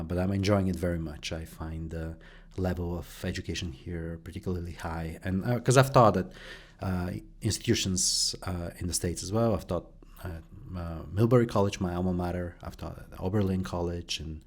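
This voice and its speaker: Interview guest: middle-aged man, very deep voice, halting and thoughtful, lots of fillers. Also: fairly even intonation. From Bulgaria. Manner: hesitant, many fillers and restarts. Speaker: guest, journalist and professor. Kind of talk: conversational interview answers.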